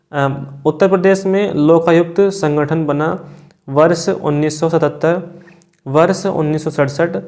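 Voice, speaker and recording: A man's voice: fairly high pitch, darkish tone. A man teaching an exam class, reading out quiz questions and options, then confirming answers with repeated verbal tags.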